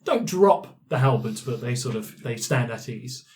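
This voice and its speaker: A man's voice: medium pitch, animated, moderate pace, darkish tone. A man narrating a tabletop roleplaying game as the game master.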